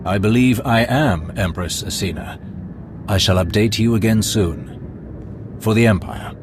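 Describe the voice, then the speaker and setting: A man's voice: lowish voice, background noise, lightly acted. A man playing a courteous Imperial spymaster. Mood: reserved. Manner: formal, courteous, deliberate. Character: formal male Imperial spymaster, polite and deferential. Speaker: advisor. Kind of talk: video game cutscene acting. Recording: music score behind.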